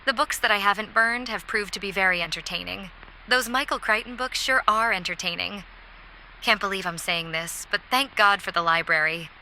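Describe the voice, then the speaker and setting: A stranded survivor acted by a woman narrates an audio diary in a very bright, sharp voice. She is wry and reflective, with faint noise under the log. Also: medium pitch, moderate pace, low-quality recording. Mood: wry, hopeful. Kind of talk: analog horror audio diary acting.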